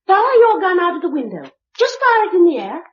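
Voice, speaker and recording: A woman's voice: extremely high pitch, huge pitch swings, a little fast, heavily acted. An actress playing a bossy, pert young British girl in a radio drama, insistent and childlike. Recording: muffled radio drama recording.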